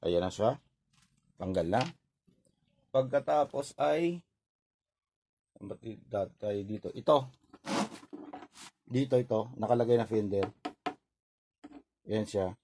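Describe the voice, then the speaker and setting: A man's voice: lowish voice, slow, pauses often, warm tone. Filipino man giving hands-on instructions, noisy recording. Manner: instructional, short step-by-step phrases. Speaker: repair tutorial presenter. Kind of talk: informal hands-on tutorial narration.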